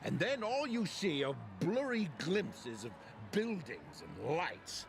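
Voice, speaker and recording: A man's voice: on the high side, very animated, takes it slowly, noisy background, heavily acted. A man voicing a cynical moviegoer who scoffs and dismisses everything, in a game with music behind him. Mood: scoffing. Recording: game audio over loud music.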